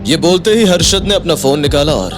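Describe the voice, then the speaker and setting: Male narrator, slightly high voice, dramatic storytelling read over prominent music. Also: expressive, a little fast. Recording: loud music behind the voice.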